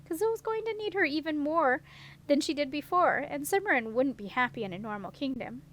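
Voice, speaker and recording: A practical, self-assured young woman in a storybook , as a female reader plays her. The voice is high, over faint noise. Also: expressive, moderate pace, a bit bright. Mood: matter-of-fact. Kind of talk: storybook character reading.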